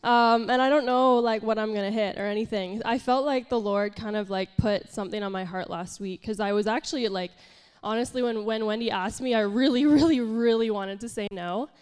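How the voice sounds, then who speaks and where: A woman's voice: fairly high pitch, a little fast, few pauses, a little mellow, clear, resonant tone, big-room sound. A young woman pastor giving an informal, candid sermon, sounding congested and nasal, chatty and self-deprecating with lots of fillers. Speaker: pastor and worship leader. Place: church hall.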